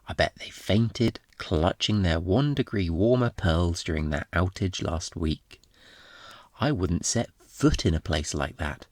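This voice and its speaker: Man playing a cocky flirtatious thief, low-voiced. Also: dry recording. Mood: feigned innocence. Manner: teasing, theatrical, sarcastic, swooping into mock quotes. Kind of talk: ASMR roleplay acting.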